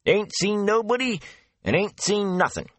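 Guy putting on a high chatty country gatekeeper, rambling folksy gossip, dry close sound. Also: sing-song, unhurried, a bit bright, no room sound, full character voice. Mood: eager. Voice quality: folksy dialect, rambling and eager. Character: gossipy talkative male gatekeeper, rustic.

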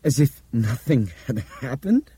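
A man performs a dazed, hesitant character in an audiobook thriller. He sounds halting and disbelieving, pitched above the narrator's own voice, with a dry sound. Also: big pitch swings, warm tone, husky, dry recording, heavily acted. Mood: dazed, incredulous.

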